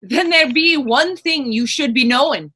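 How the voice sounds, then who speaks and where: A woman's voice: high-pitched, measured pace, pushed and bright. An actress playing a proud, defiant woman reputed to be a witch, taunting in folksy dialect in a stage reading. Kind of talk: stage readers theater acting.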